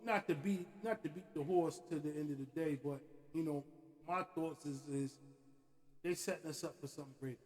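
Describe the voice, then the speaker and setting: A man hosting a podcast, ranting in an animated, profane way and often asking "you know what I'm saying". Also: on the high side, fairly quick. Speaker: podcast host. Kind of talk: opinionated casual rant. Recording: hall-like reverb.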